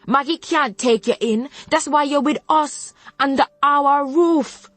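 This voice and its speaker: A woman's voice: sits high, a little slow, fairly bright tone, no echo, pitched above the performer's own voice. A woman voicing a stern, proud old Jamaican grandmother who scolds in thick patois. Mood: furious. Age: older. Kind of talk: audiobook narration.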